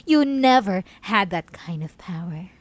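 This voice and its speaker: A woman's voice: medium pitch, expressive, a little slow, no echo. A young woman performing an obsessive, possessive female killer locked in a cell, taunting in whispers and bursting into outbursts. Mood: menacing. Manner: taunting, slipping from soft whispers to sudden outbursts. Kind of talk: roleplay audio drama to the listener.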